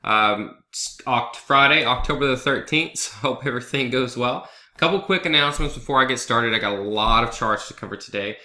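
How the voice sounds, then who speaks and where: A man's voice: mid-range voice, brisk, bright, forceful tone, echoey room. A man with a head cold, sniffling a little, talking through stock charts in a loose, conversational way as the host of his own video blog. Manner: conversational, loose, with fillers and asides like "right?". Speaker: trader hosting a market analysis video blog. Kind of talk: casual chart walkthrough over a screen recording.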